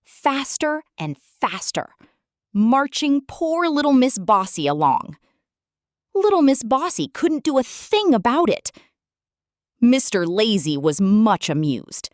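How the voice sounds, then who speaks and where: Brief: female storyteller for kids, sing-song and expressive, slow delivery. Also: fairly high pitch, no echo, clean studio sound. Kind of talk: children's story read-aloud.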